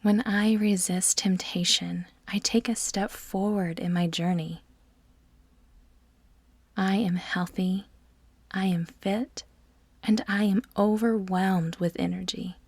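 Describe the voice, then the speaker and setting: A woman narrating a guided meditation in a soft, breathy, near-whispered voice, soothing and measured, with deliberate pauses between phrases. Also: mid-range voice, steady tone, no room sound.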